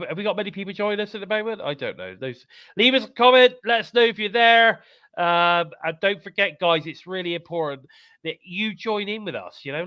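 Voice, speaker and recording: British male podcast host, stuffed-up nose, bright upbeat voice, dry close mic. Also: fairly high pitch, no echo. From United Kingdom. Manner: chatty, upbeat, repeats calls to subscribe. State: congested, bunged up. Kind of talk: live wrestling podcast chat.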